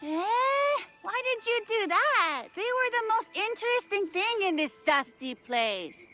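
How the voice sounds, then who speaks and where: An eager, imaginative young girl in a video game. Her voice is very high and bright, swinging widely as she talks in unhurried, dramatic bursts. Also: big pitch swings, soft background music, exaggerated voice. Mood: disappointed.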